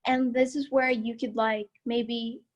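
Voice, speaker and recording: A young woman giving a casual tour of her college from slides, chatty and upbeat, laughing often, with a loud voice. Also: a little high, level tone, a little slow. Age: young adult. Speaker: student body president giving a campus tour. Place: at home.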